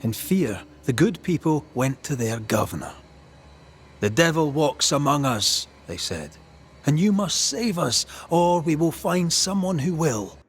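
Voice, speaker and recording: An actor playing a shrewd ghost hunter in a dramatic video game, confronting authority with deliberate, eloquent, accusing speech. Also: male voice, on the high side, big pitch swings, slightly rough, full character voice. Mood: grave storytelling. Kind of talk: dramatic game storytelling and interrogation.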